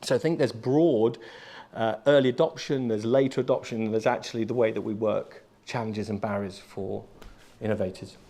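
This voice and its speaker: Measured man speaking on a panel. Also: fairly low pitch, steady tone, dark tone. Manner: measured, hesitant, with fillers. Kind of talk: panel discussion answer.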